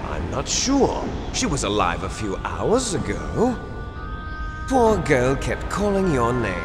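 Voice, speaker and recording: An actor playing a bitter, fatalistic video game villain, lecturing with menace. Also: male voice, mid-range voice, wildly swooping pitch, takes it slowly, over music. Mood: mocking. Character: bitter, fatalistic villain, cloned son of a soldier. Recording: long echoing hall reverb.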